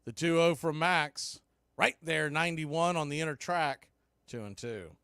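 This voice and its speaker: Male play-by-play announcer, fairly high voice, relaxed with wry asides, close dry mic. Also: unhurried, creaky, clean tone, dry recording. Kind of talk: baseball play-by-play commentary.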